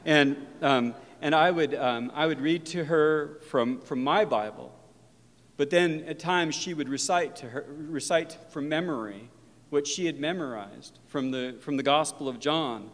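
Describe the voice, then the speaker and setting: A man's voice: fairly high pitch, unhurried, fairly bright tone. A male professor of religion giving an earnest, reflective university lecture that mixes in personal stories. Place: lecture hall. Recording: long reverb of a lecture hall.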